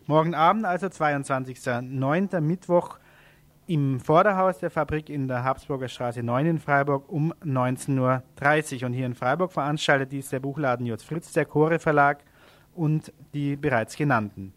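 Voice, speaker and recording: A man's voice: a little high, moderate pace, fairly bright tone, no echo. A man presenting local event announcements on radio in an informal way, sometimes correcting himself.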